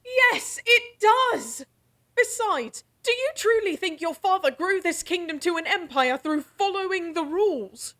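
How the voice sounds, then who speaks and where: A woman voicing a defiant young noblewoman with a high, bright-pitched voice. She speaks sharply and pushes back hard against a prince. The tone is quick-witted and challenging. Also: lively intonation, heavily acted. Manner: sharp, challenging, flustered when caught. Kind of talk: fantasy audio drama acting.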